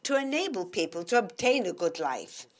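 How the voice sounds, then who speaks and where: Female interpreter, mid-pitched, steady careful relay of a formal talk. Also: normal speed. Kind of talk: simultaneous interpretation.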